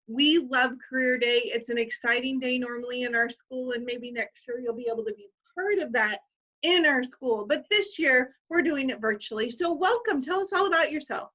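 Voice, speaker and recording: A woman's voice: sits high, moderate pace, sharp tone, highs cut off. A woman teacher hosting a virtual career day, talking to children in a bubbly, exaggerated welcoming tone over a video call. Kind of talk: enthusiastic classroom welcome.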